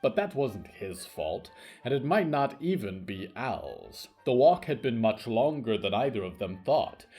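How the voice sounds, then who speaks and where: Male narrator, eerie fiction: measured, ominous, dramatic delivery with very animated intonation. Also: medium pitch, faint music behind. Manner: theatrical, deliberate, ominous. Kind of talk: scripted horror fiction narration.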